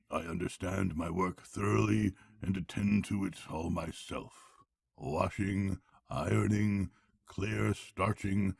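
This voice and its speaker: A man doing a gravelly, boastful toad. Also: low voice, a little mellow, no echo, exaggerated voice. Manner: airy bragging, turning nervous. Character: boastful, pompous male toad posing as washerwoman. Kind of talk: storybook character voice.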